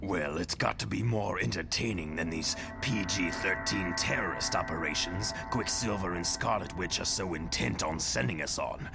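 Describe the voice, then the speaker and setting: Male actor playing haughty ape mutant, low gravelly voice, dry, weary, motion comic, music behind. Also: normal speed, few pauses. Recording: music under the voice.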